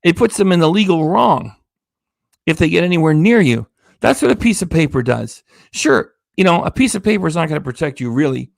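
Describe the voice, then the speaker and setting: A man's voice: on the high side, very animated, fairly quick, creaky, dry recording, studio quality. A man hosting a reaction livestream, ranting with sarcasm and swearing, tired and losing his voice. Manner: sarcastic, indignant, swears often. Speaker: reaction channel host.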